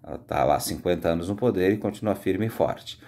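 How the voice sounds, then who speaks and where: A Brazilian man speaking as a high school history teacher, giving an informal, conversational lecture with frequent tag questions. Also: brisk, creaky, dark tone. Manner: conversational, explanatory, frequent "né" tags.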